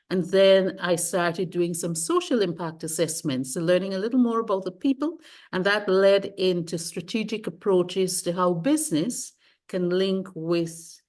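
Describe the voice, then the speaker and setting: A middle-aged woman from Guyana, a sustainability consultant, talking through her career in a measured, careful way and rephrasing as she goes. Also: mid-range voice, darkish tone. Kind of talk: interview, long career account.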